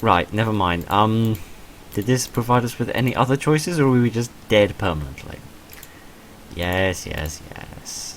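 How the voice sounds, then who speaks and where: A low-pitched man narrates a text-based game, switching between reading aloud and offhand commentary. His asides are wry and self-deprecating. The recording carries noticeable background noise. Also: animated, a little slow. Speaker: gaming video narrator reading a visual novel aloud.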